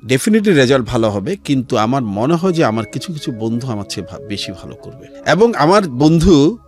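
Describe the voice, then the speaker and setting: A middle-aged man with a Bangladeshi accent telling his life story as an interview guest, in a measured way with pauses and some English words mixed in. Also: mid-range voice, sing-song. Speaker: interview guest, civil servant. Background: background music.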